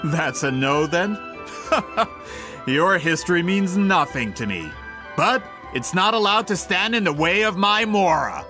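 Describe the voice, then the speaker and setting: An actor playing a smug, greedy businessman villain in a video game, with theatrical, drawn-out gloating. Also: sits high, very animated, pushed and bright, faint music behind, full character voice.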